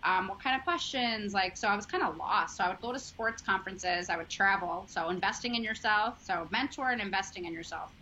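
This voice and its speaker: A sports journalist in her thirties with a Chicago accent talks in a chatty, earnest way, full of ums and likes. Her voice is bright and forward. The audio is dull and lo-fi, with a faint hiss behind it. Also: medium pitch, brisk, hardly any pauses. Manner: chatty, filler-heavy, earnest. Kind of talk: casual interview chat.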